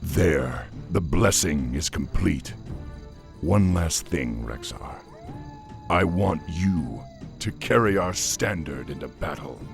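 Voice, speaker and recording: An actor playing a noble, grave orc war chief in a video game, formal and measured in speech. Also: male voice, extremely low pitch, expressive, gravelly, faint music behind, full character voice. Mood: solemn. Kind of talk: video game cutscene acting.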